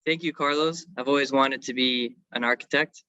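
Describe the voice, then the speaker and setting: A man's voice: medium pitch, fairly even intonation, moderate pace, bright, forceful tone, smooth, no room sound. A man giving a semi-scripted technical presentation as an engineering consultant, on a slightly dull recording.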